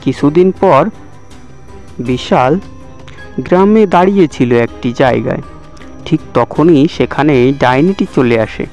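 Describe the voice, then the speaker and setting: A man's voice: on the high side, unhurried, pauses often, a little mellow. A man reading scripted narration for a cartoon story. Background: background music.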